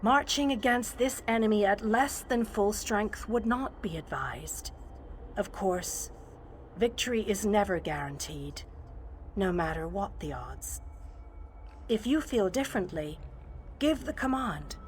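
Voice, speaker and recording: Woman playing a slow, serene, wise female guardian. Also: mid-range voice, animated, a little hiss or hum, lightly acted. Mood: measured, advisory. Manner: formal, measured, serene. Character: calm, wise, ethereal female guardian of a city. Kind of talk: video game voice acting.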